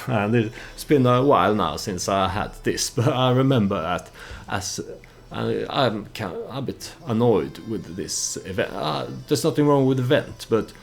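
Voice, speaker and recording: Very deep, gravelly man, non-native English speaker. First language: not English. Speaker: let's play host. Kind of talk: casual gameplay commentary with storytelling.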